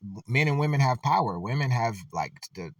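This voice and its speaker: A man's voice: mid-range voice, lively intonation, a little fast, no echo, distant mic. A man giving loose, conversational reaction commentary, full of filler words.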